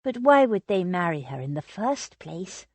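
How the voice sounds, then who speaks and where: A woman voicing a shy but quietly defiant young woman in a storybook reading, speaking softly and earnestly. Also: lowish voice, sing-song, normal speed, dry recording.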